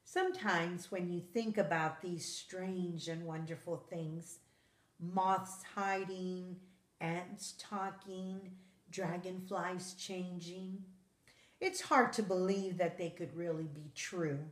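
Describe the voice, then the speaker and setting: Female read-aloud for children's nonfiction: slightly low voice, slow careful storytelling delivery, noticeable room echo. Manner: measured, expressive storytelling for children.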